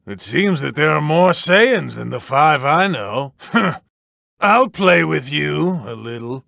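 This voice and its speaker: Video game destroyer god, cat-like and smugly superior. Theatrical, highish male voice with a gravelly creak, toying condescendingly with opponents. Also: sharp tone, dry recording, clean studio sound, heavily acted. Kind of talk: video game fighting voice lines.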